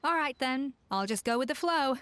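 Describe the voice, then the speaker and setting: A woman voicing a composed, musing chef in a video game, speaking in a measured manner. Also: high voice, expressive, a bit bright. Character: composed, inspiration-seeking female chef.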